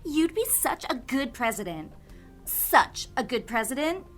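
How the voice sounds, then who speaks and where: A woman's voice: sits high, sing-song, unhurried, faint music behind. A woman playing a dim, fawning suburban sidekick in a comedic audio drama, repeating herself eagerly. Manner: echoes herself in eager agreement.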